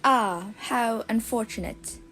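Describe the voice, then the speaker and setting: Woman playing a shrill, high-pitched prim aunt. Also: slow pace, a bit bright. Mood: politely strained. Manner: shrill, clipped. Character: stiff, cross, prim middle-aged suburban aunt. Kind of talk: audio drama acting.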